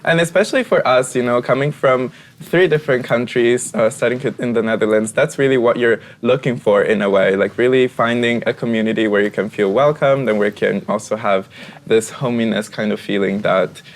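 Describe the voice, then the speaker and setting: A man's voice: medium pitch, a little fast. A young man with an Italian accent, hosting a panel talk. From Italy. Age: young adult. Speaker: talk show host, former student.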